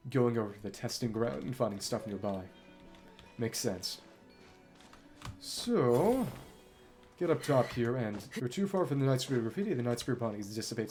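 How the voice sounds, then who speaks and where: A game streamer talks casually through play in a mid-pitched voice that moves up and down a lot. Thoughts are spoken aloud and often left hanging, with music playing behind. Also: a little mellow. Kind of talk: casual live game commentary, reading dialogue aloud.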